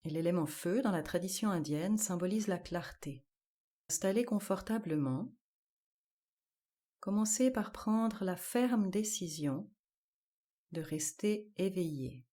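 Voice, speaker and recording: A woman guiding a yoga nidra relaxation, giving calm, measured instructions in short, spaced-out phrases. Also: on the low side. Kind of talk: guided relaxation meditation.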